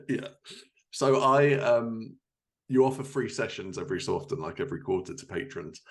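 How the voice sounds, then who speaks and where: A man hosting a podcast in a casual, anecdotal chat style, with jokey asides and self-corrections. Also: on the low side. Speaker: podcast host, fiction writer.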